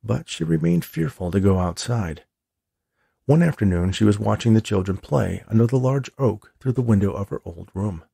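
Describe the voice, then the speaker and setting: A man's voice: on the low side, animated, normal speed, gravelly, warm tone, slightly rough, no echo, studio quality. A man who is an author, narrating a chapter of his own story from the page. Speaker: author reading own book.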